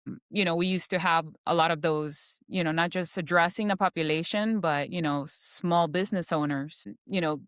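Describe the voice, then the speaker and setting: A woman guest chatting casually in an interview, talking freely and filling pauses with "you know". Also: fairly low pitch, fairly bright tone, no room sound, clean studio sound. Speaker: guest, insurance professional.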